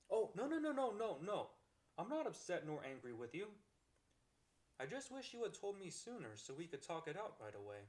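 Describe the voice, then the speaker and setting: Man playing a kind, expressive higher-voiced boyfriend. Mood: surprised, flustered. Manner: gentle, reassuring, earnest, expressive. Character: kind-hearted, accepting, affectionate young boyfriend comforting his partner. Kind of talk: ASMR romantic roleplay, one-sided acted dialogue.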